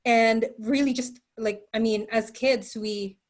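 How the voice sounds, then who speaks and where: A bubbly woman interview host with a slightly high voice. She talks casually and giggles, filling her sentences with "like" and "you know". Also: fairly even intonation, takes it slowly.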